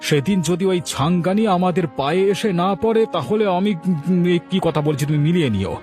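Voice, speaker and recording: A man's voice: high-pitched, lively intonation, fairly quick, a little mellow, faint music behind. A man voicing a disgruntled, proud village customer in a moral cartoon, complaining emphatically. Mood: vengeful. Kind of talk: Bengali moral cartoon voice acting.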